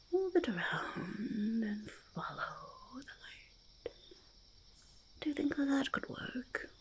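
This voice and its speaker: A woman voicing a small, curious, gentle goblin in an ASMR roleplay, whispered and soft-spoken, breathy and creaky, often repeating little phrases. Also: fairly high pitch, full character voice. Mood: curious, proud. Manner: refers to self in third person, repeats phrases softly. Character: small curious goblin, gentle, eager, easily delighted hoarder.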